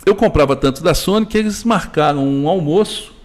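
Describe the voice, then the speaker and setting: A man's voice: a little high, brisk. A middle-aged man with a Brazilian Portuguese accent, a business owner chatting as a guest and telling rambling anecdotes with many restarts. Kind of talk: casual conversation, storytelling.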